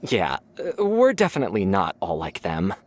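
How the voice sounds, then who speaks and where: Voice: low voice, huge pitch swings, a little slow, slight background noise, exaggerated voice. An actor playing a friendly, chatty young member of a household who rambles eagerly with fillers in a video game.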